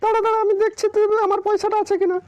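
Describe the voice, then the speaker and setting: A woman voicing a sharp-tongued, bossy village wife in a comic cartoon, snappy and nagging. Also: very high voice, little pitch movement, racing. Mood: alarmed, scolding. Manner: snappy, nagging, repeats commands. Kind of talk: comic Bengali cartoon dialogue. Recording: music clearly behind the voice.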